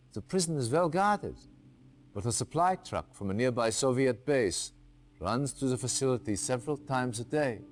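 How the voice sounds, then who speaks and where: A man's voice: medium pitch, lightly acted. A man playing a stern briefing officer. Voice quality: crisp, authoritative. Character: stern male military officer briefing a commander. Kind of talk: game mission briefing.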